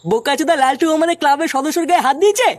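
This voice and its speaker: A woman's voice: high voice, animated, very fast, shouting. A woman voicing a hot-headed, bullying male club tough in a comic cartoon dub, crude and threatening. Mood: blustering anger.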